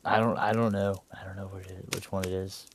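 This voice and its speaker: A man's voice: deep voice, little pitch movement, fast, slight background noise. An American man co-hosting a podcast, chatting casually in a laid-back, good-humoured way. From United States. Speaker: podcast co-host and quiz contestant.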